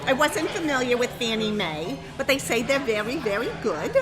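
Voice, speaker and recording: Woman doing a rambling high-voiced ditzy makeup artist. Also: animated, moderate pace, over music, lightly acted. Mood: breezy. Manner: rambling and giggly. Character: chatty, scatterbrained woman makeup artist to the stars. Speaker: comic guest. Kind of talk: improvised comedy talk show bit.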